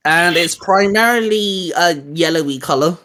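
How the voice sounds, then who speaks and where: A man's voice: high voice, normal speed, pushed and bright, slight background noise. A man co-hosting a casual geek chat, talking in a hesitant, rambling, informal way with bits of slang. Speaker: co-host of a geek culture show. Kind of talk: casual chat, reviewing video game designs.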